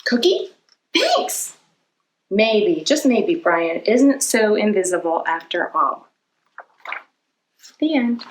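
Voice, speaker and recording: Lady doing kids storytime, medium pitch, explains stuff simply and keeps asking the little ones questions. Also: lively intonation, slow, long pauses, slight background noise, rough recording. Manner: asks the listeners questions and answers them, simple explanatory phrasing. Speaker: children's librarian hosting storytime. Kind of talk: children's storytime read-aloud and talk to young viewers.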